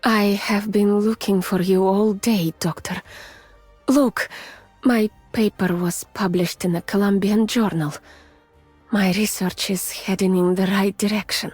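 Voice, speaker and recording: Slow breathy russian lady scientist, gentle and weary, game character, very close and whispery, music behind. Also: medium pitch, fairly even intonation, no room sound. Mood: quietly happy. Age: adult, mature. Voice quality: soft, breathy, often near a whisper. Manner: measured, formal, restrained. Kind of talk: soft, intimate ASMR-like game voice acting.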